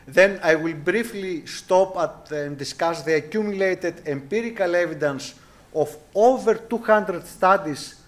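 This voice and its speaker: A man with a Greek accent giving a formal academic lecture as a guest professor, courteous and measured, often restating his phrases carefully. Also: high voice. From Greece.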